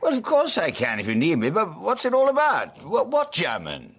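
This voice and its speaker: An actor playing a concerned gentleman private investigator in a radio drama, probing and emphatic. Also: sits high, wildly swooping pitch, normal speed, breathy edge, heavily acted. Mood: urgent, concerned.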